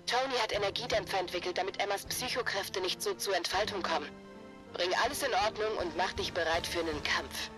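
A woman's voice: mid-range voice, a little fast, close to an everyday voice. A woman voicing a resolute but nervous young heroine in a German-dubbed video game, speaking urgently and earnestly. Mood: focused, secretive. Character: young heroine, resolute leader fighting her nerves. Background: game music.

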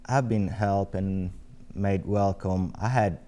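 A man's voice: low-pitched, fairly even intonation, warm tone, slight background noise. A man in his forties with an Italian accent, a guest chatting on a TV studio set, chuckling often. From Italy. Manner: chuckles often, measured and friendly. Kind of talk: casual studio chat. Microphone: lapel.

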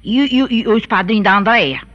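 A woman's voice: mid-range voice, a little fast, fairly bright tone, dull audio, close to an everyday voice. An actress playing a humble, practical country mother in a radio soap, folksy, with a Brazilian accent. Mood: teasing. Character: homely rustic mother, humble, practical, teasing wife. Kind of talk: radio soap opera acting.

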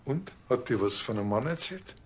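A man's voice: medium pitch, expressive, a little fast, husky. An actor playing a naive, stubborn, matter-of-fact provincial policeman in a TV crime comedy. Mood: earnest, naive.

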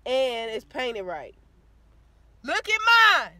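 A woman's voice: on the high side, slow pace, no room sound. A young woman, ranting indignantly to her phone camera from inside a parked car. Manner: indignant, animated complaining. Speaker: beauty vlogger. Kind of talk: casual vlog rant to camera. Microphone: phone camera at arm's length.

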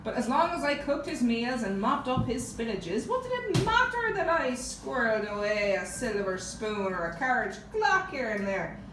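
A woman's voice: fairly high pitch, very animated, normal speed, few pauses. A young woman playing a cheeky, unrepentant thieving cook, confessing colloquially in a murder mystery game. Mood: cheeky, defiant. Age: young adult. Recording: echoey room, distant camera, background noise.

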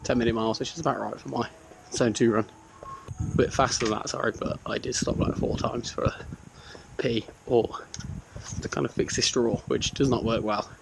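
A man giving casual asides to a handheld camera, his voice slightly croaky after exercise. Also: medium pitch, fairly even intonation, normal speed. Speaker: vlogger. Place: home room and outdoors. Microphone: handheld action camera. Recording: noisy action-camera sound.

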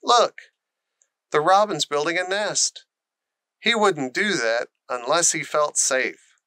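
A man's voice: fairly high pitch, slow, sharp tone, smooth, no echo, studio quality. A man voicing a friendly, eager country boy who loves animals in a storybook reading. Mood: cheerful.

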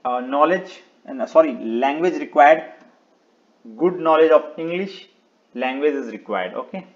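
A man presenting an unscripted step-by-step website walkthrough in short, halting instructional phrases, often repeating words. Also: fairly high pitch, lively intonation, dull audio. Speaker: video tutorial presenter.

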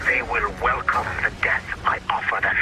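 An actor playing a cold, ruthless modern-day man vowing to hunt his enemies down, speaking with quiet menace. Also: mid-range voice, level tone, normal speed, over loud music, close to an everyday voice, rough recording. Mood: menacing.

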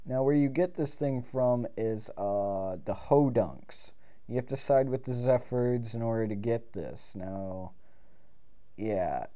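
Stuffed-up young man, mellow voice. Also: mid-range voice, takes it slowly. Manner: rambling, restarts sentences, swears casually. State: stuffed-up nose, getting over a cold. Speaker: gaming video host. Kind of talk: casual gameplay commentary. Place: small recording room.

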